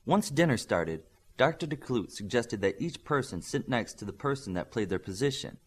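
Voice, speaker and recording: A man's voice: medium pitch, animated, normal speed, husky. A man reading a scripted fiction story as an audiobook narrator.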